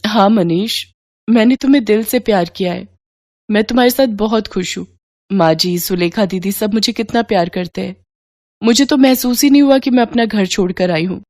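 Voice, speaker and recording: A woman's voice: mid-range voice, moderate pace, darkish tone, dry recording, studio quality, close to an everyday voice. A woman voicing a polite, earnest young rich woman in an acted storybook dialogue. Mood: earnest, loving.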